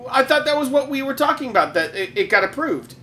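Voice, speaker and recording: A man's voice: extremely high pitch, very animated, sharp tone, slight background noise. A man co-hosting a casual podcast, needling his friend with sarcastic jokes. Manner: sarcastic, jokey, quick to needle. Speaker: podcast co-host.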